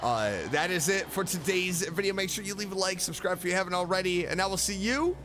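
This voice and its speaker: A man giving casual, excitable and playful reaction commentary as a video streamer, gushing with enthusiasm. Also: high-pitched, fast, over music. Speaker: YouTube streamer reacting to game voice lines.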